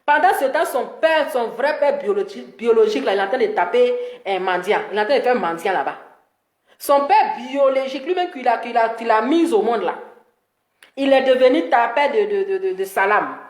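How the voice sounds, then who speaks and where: Woman, high-pitched voice, Ivorian accent, emphatic scolding delivery, repetitive phrasing, live-stream commentary, echoey room. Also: lively intonation, normal speed, a little mellow. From Ivory Coast. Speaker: social media live-stream host.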